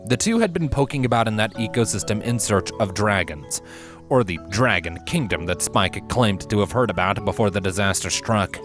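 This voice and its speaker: A man reading scripted fantasy fan fiction aloud as a narrator, in measured, expressive storytelling. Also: fairly low pitch. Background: background music.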